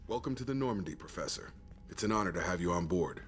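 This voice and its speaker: An actor playing a formal, serious human military officer in a video game. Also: male voice, low voice, expressive, fast, a bit bright. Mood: respectful.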